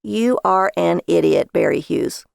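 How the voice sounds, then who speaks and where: A woman's voice: lowish voice, a little slow, creaky, no echo, studio quality. A woman voicing an exasperated, nagging wife in a story, sarcastic and scolding toward her husband. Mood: scornful.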